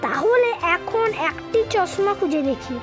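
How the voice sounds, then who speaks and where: An eager little schoolboy for a children's cartoon, with an extremely high, childlike pitch. He is full of questions, and music plays clearly behind him. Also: expressive, unhurried. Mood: delighted, curious. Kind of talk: animated children's story dialogue.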